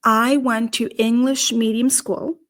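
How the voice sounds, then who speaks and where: A woman's voice: a little high, level tone, takes it slowly, a bit bright. A middle-aged woman from Nepal with a Nepali accent, talking earnestly to camera as a career show host and posing questions to her listeners. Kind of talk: solo talk to camera.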